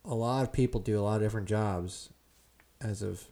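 A woman co-hosting a casual podcast, relaxed and responsive, mostly giving short replies. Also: extremely low pitch, a little fast. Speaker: podcast co-host.

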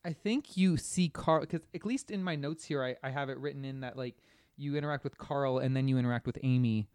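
A man's voice: medium pitch, fairly quick, darkish tone, clean tone, dry recording, studio quality. A man in casual podcast conversation, recounting things in detail as he talks about a video game. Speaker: podcast guest or host discussing a video game. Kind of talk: casual chat.

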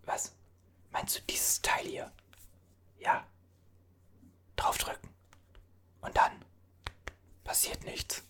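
A performer whispering as a bewildered time traveler from an older era, puzzling over strange objects in a halting voice full of restarts. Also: a bit bright. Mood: puzzled. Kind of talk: whispered ASMR roleplay.

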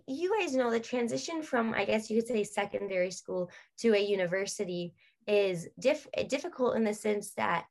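A young adult woman, a guest in a casual interview, talking at length in a rambling way with many fillers. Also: a little high.

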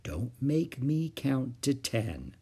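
A man's voice: medium pitch, very animated, takes it slowly, mellow, husky, heavily acted. A man voicing a stern, weary storybook mother with a firm, scolding manner. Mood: quietly warning.

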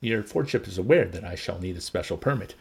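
A man's voice: lively intonation, quick pace, vocal fry, breathy edge, exaggerated voice. A man voicing a formal, curt, authoritative world leader in a novel. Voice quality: deep, resonant as described.

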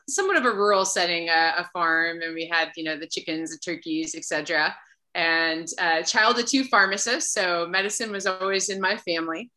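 Bright-voiced American woman in conversation. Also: fairly low pitch, low-quality recording. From Massachusetts, United States. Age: 30s. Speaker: podcast guest, military physician. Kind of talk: conversational personal storytelling.

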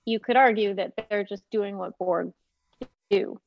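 A woman giving her opinion in a casual discussion, explaining her points in a measured, analytical way. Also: medium pitch, fairly even intonation, creaky, dry recording. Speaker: podcast panelist. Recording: dull, dry lo-fi audio.

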